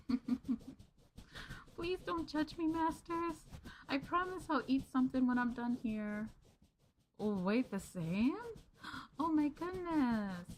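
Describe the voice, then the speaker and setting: A young woman streamer chatting gently and sweetly with her viewers in a maid roleplay, calling them master. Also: on the high side, a little hiss or hum, rough recording.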